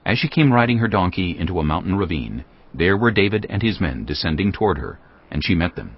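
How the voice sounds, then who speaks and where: A man narrating scripture from a script in a measured, solemn storytelling manner. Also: sits low, big pitch swings, slightly rough, muffled recording.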